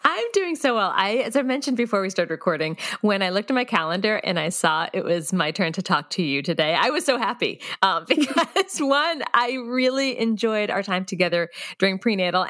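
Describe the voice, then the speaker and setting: A woman's voice: mid-range voice, animated, normal speed, hardly any pauses, fairly bright tone, dry recording, clean studio sound. A woman hosting a podcast, interviewing a former student in an upbeat, giggly way. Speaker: podcast host and prenatal yoga teacher. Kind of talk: interviewing a guest.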